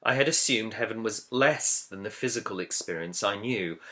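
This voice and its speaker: A man, an author, reading his own book introduction as scripted narration in a measured, earnest, reflective way. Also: fairly low pitch, animated, sharp tone. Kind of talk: scripted audiobook-style narration.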